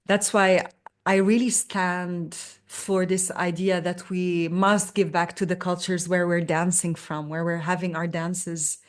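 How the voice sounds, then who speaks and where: A woman from Lebanon talks through her story on a video call, pausing often as she explains. Her voice sits a little low for a woman. She speaks into a desk microphone in a room at home. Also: flat delivery. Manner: reflective, explains carefully with many pauses. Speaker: belly dance teacher, guest. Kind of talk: conversational interview.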